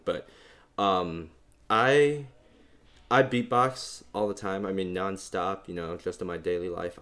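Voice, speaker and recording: A young man with a bass voice hosting a beatbox tutorial in a relaxed, rambling way, full of fillers. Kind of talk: casual instructional talk.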